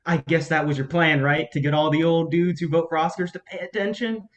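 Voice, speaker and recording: A man's voice: on the high side, steady tone, brisk, close to an everyday voice. A man acting in a staged play reading as a film producer's young assistant, understated and sardonic. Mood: dry, needling.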